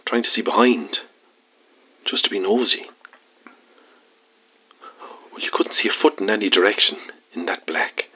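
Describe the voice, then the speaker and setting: An actor playing a frightened, agitated Irish truck driver who stammers through a late-night phone-in in an audio drama. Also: male voice, fairly low pitch, slight background noise. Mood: haunted, hushed. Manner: halting, stammering, rambling colloquial storytelling. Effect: phone line. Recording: muffled, tinny phone line.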